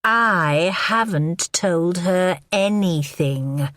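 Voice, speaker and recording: A woman reading the part of a brisk, biting woman confronting her lover in an audiobook. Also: medium pitch, sing-song, no room sound, clean studio sound, voice pushed up. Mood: tense. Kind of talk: audiobook character voice.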